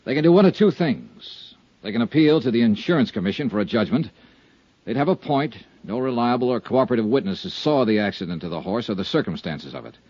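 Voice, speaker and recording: Leading man for vintage radio detective drama, husky, lo-fi. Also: mid-range voice, lively intonation, mellow, no echo. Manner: brisk, tough, confident. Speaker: insurance investigator lead in a radio drama. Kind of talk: 1950s radio drama acting and narration. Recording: dull old radio recording.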